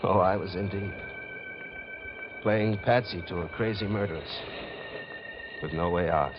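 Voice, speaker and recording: An actor in a 1940s radio mystery playing an uneasy, hard-boiled drifter caught up in crime, on a dull old recording. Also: male voice, deep voice, measured pace, noisy background. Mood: brooding. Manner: terse, brooding, hard-boiled. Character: uneasy hard-boiled male drifter, reluctant accomplice and narrator. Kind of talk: 1940s radio acting, first-person narration.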